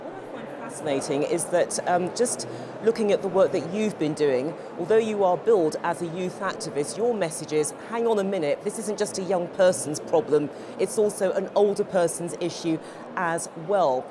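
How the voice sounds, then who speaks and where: A woman's voice: fairly low pitch, moderate pace. A middle-aged woman presenting a live interview in an articulate, polished broadcast manner. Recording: clear outdoor-like traffic noise behind.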